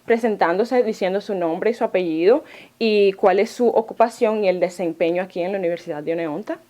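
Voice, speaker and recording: Woman podcast host, polite formal questions, short replies between answers, mid pitch. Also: fairly quick, dark tone. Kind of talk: interview questions.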